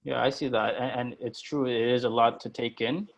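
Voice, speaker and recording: A man's voice: mid-range voice, fairly even intonation, moderate pace, gravelly, highs cut off. A man presenting and moderating an online workshop, speaking hesitantly with many fillers and restarts.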